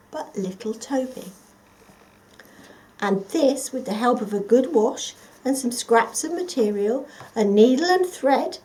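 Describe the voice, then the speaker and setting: A woman reading a children's story expressively and dramatically, often dropping to a hush. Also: a little high, slight background noise, rough recording. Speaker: volunteer storyteller.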